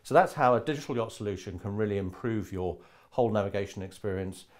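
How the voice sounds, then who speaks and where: Low-pitched middle-aged man presenting a product. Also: fast talker. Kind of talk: product demonstration, semi-scripted explainer. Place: indoor display booth with printed backdrop.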